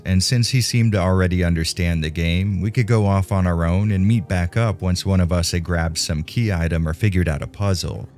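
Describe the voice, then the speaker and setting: A man's voice: low-pitched, a little mellow. A man narrating a scripted video game review in a casual, conversational way, with wry, tongue-in-cheek humour. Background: background music.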